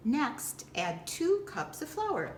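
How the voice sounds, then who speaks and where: A woman giving step-by-step instructional narration in a deliberate way, with simple explanatory phrasing. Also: high-pitched, wildly swooping pitch. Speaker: librarian giving a baking demonstration. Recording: distant roomy echo with background noise.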